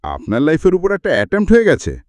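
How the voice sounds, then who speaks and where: A man's voice: fairly high pitch, wildly swooping pitch, fast, a little mellow, no echo. A man voicing an inquisitive male journalist in a story, persistent and pressing with questions. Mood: probing. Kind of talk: audiobook character dialogue.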